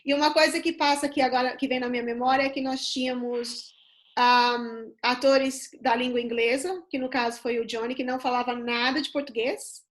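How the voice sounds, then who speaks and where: A woman's voice: high voice, fairly even intonation, moderate pace. A woman from Brazil hosting a casual video-call chat, asking her guests questions as she reminisces. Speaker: host. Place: home in London.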